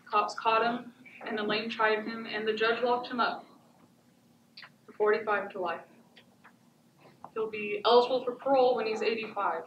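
Woman playing wounded resolute survivor in a stage monologue, highish forceful voice, echoey theatre, mic far off. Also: fairly even intonation, muffled recording, natural voice. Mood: somber, resolute. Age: young adult. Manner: deliberate, declamatory, short weighted phrases. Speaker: high school stage actor. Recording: echoey theatre, distant mic, faint noise.

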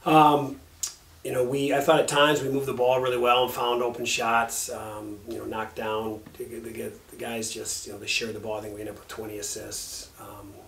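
A middle-aged man, a head coach at a post-game press conference, speaking haltingly with many fillers and restarts. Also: mid-range voice, level tone, vocal fry, a bit bright. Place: press room with backdrop and table. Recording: echoey room, mic a little distant, faint hum.

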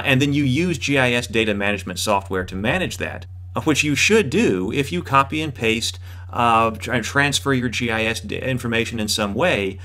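Male instructor, 30s, fairly low voice, explanatory and somewhat hesitant. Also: fairly bright tone. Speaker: online course instructor. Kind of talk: explanatory lecture.

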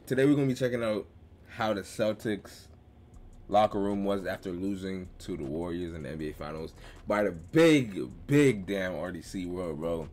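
A man's voice: deep voice, normal speed, darkish tone. Laid-back young guy reacting on webcam. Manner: slangy, loose, laughs often, rambling. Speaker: reaction video host. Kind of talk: casual reaction commentary. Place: small bedroom with gaming chair.

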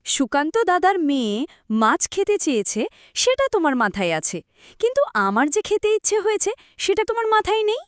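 A woman's voice: very high voice, sing-song, a little fast, no room sound, studio quality. A woman voicing a demanding village wife in a cartoon. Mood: scolding. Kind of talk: cartoon voice acting.

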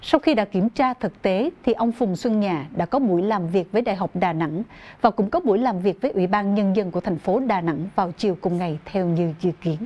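A young woman presents the news in a formal, scripted style. Her pitch is middling for a woman. The studio recording sounds a little dull. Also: a little fast, mellow. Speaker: news anchor.

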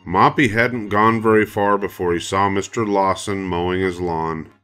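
A man's voice: low voice, steady tone, normal speed, bright, forceful tone, smooth, faint music behind. An older man narrating a children's story from a script. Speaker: storybook reader.

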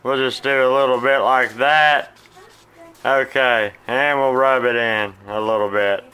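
A man's voice: medium pitch, measured pace, clear, resonant tone, poor audio. A man in his thirties with a Southern American accent, casually walking viewers through cleaning and pan-frying a fish in his home kitchen, recorded on a phone. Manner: folksy, relaxed, talks to viewers as "guys". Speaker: cooking channel host. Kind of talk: casual cooking demonstration. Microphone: phone camera.

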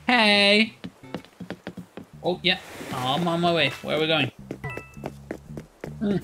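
Casual streamer, man in his 40s, unhurried and playful, headset, faint game music behind. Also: on the high side, creaky, rough recording. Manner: chatty, playful, talks to chat while playing. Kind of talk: casual live stream chat. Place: small room at a desk.

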